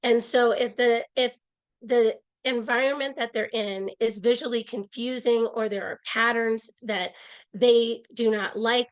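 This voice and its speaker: Woman, slightly hoarse, fairly high voice, earnest online presenter, earbud mic. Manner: earnest, explanatory, with frequent restarts. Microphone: earbud mic on a video call.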